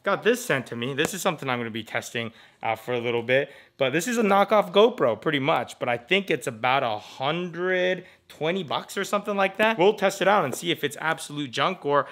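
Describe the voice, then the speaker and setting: An American man chatting casually as a vlogger, in an informal, animated and jokey way. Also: on the high side, brisk. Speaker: vlogger, host. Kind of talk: casual vlog chat.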